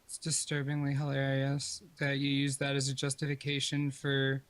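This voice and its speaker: A man arguing in a voice chat in a measured, disapproving and wordy way. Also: medium pitch, completely flat delivery, a bit bright. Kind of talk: argument in a voice chat.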